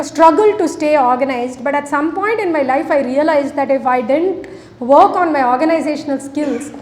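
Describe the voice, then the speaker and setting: A middle-aged woman with an Indian English accent speaks in a high voice. She addresses an audience in a conversational way and leads a workplace session. Her voice carries a long reverb from the hall. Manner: conversational, asks the audience questions. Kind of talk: interactive talk to an audience.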